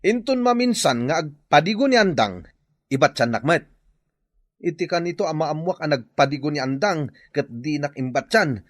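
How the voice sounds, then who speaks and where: A man's voice: a little high, lively intonation, moderate pace, dry recording, studio quality, close to an everyday voice. A man with an Ilocano accent playing a lazy, self-pitying husband in a radio drama. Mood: indignant. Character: lazy, whining, self-pitying husband who shirks work. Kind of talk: Filipino radio drama acting.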